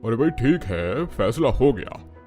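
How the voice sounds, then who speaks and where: A man's voice: medium pitch, big pitch swings, unhurried, warm tone, soft background music. A man voicing a hearty, decisive older village headman with a folksy, exclaiming manner in a cartoon. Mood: decisive, kindly. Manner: folksy, exclaiming, addresses everyone as brother. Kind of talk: Hindi cartoon dialogue acting.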